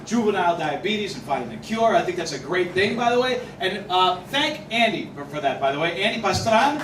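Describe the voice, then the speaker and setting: Boston-accented comedian, high lively voice. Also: male voice, fairly quick. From Boston, Massachusetts. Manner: wry, self-deprecating, punchy delivery with loud mock announcer shouts. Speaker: stand-up comedian. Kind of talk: stand-up comedy set. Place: Mexican restaurant, indoors. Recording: echoey room, distant mic, audience noise.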